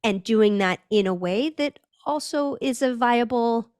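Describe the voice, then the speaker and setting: A woman's voice: on the high side, unhurried, darkish tone, no echo, studio quality. A woman from Northern California, a brand strategist talking as a podcast guest, thoughtful and searching, with frequent restarts. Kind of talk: reflective conversational interview.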